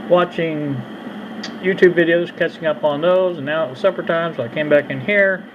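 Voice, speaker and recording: Folksy guy doing repair video at his bench, takes his time, lots of stops, bit high voice, faint noise behind. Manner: halting, folksy, unhurried. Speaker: hobbyist radio repair vlogger. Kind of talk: casual hands-on repair demonstration. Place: indoor workbench. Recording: dull lo-fi sound with background noise.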